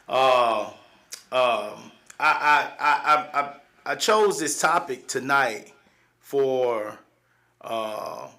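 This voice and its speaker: Slow, halting Southern man in his forties. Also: mid-range voice, bright, forceful tone. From East Texas, USA. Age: 40s. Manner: halting, many restarts and fillers, then reflective and folksy. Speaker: livestream host. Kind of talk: personal storytelling on a live show.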